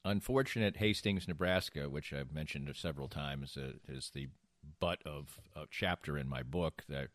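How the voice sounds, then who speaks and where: A man's voice: very deep voice, normal speed, fairly bright tone, no room sound. An older man hosting a casual podcast, telling anecdotes in a rambling way and restarting his sentences mid-thought. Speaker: podcast host.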